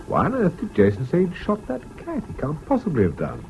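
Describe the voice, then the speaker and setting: A man's voice: on the high side, huge pitch swings, brisk, gravelly, faint music behind, heavily acted. An actor playing an anxious, baffled British man in a 1970s radio drama, urgent and questioning. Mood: puzzled. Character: anxious, baffled British friend keeping the peace. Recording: muffled noisy old radio recording.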